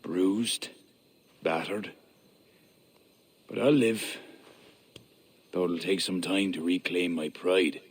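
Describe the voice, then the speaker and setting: A proud high king, formal and contrite in his speech. His voice is low and husky. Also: takes it slowly, pauses often, exaggerated voice. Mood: wry. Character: proud male Irish high king, humbled.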